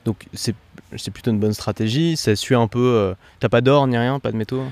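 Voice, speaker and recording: A man hosting a casual conversational interview, explaining investing ideas quickly with frequent fillers and restarts. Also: medium pitch, darkish tone, no echo. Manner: fast, explanatory, peppered with fillers. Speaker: podcast host.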